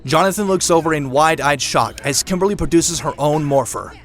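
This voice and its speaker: A man narrates a scripted action drama in a moderately high voice. Dramatic music plays clearly behind the narration. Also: brisk.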